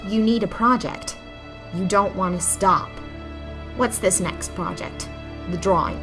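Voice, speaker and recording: A woman's voice: medium pitch, unhurried, close to an everyday voice. A woman acting an exasperated, outspoken character in an audio drama, pressing with pointed questions. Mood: demanding. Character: exasperated, outspoken woman confronting her stubborn partner. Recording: music behind the voice.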